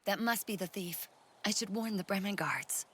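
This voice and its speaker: A woman's voice: medium pitch, moderate pace, noisy background, natural voice. A woman voicing an earnest researcher heroine who narrates a video game story. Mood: alarmed. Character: earnest female legend researcher, the game's heroine. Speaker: protagonist narrator.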